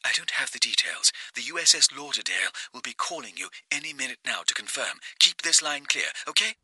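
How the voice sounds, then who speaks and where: A man voicing a composed, businesslike woman official on the phone in an audiobook, soft and breathy, with clipped delivery. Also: mid-range voice, expressive, a little fast, no echo, voice pushed up, full character voice. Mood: brisk, businesslike.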